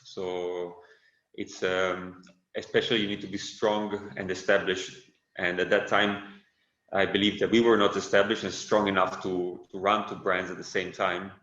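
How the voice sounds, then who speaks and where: A man with an Italian accent, Italian by birth, being interviewed on a podcast and talking in a relaxed, unhurried way with many fillers and self-corrections. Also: deep voice. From Italy. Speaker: guest, windsurf brand founder. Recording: roomy, slightly dull sound.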